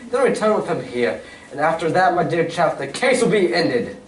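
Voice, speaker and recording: A man playing a confident Victorian gentleman detective in a radio drama read on stage, with formal, old-fashioned phrasing. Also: fairly high pitch, sing-song, normal speed. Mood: assured, explanatory. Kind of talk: 1940s-style radio drama stage acting. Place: community theatre stage reading. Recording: echoey hall, distant mic, faint noise.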